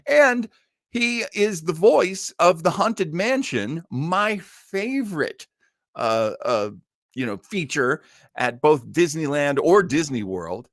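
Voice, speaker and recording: A man's voice: fairly high pitch, sing-song, takes it slowly, no room sound, studio quality. A middle-aged or older man hosting a live online listening party, chatting casually and enthusiastically like a devoted fan. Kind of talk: casual live chat introducing a radio cast.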